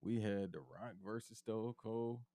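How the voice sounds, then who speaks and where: Deep-voiced man in lively wrestling chat. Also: measured pace, no room sound. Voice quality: deep bass voice. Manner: animated, emphatic, slangy, calls his cohost bro. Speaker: podcast co-host.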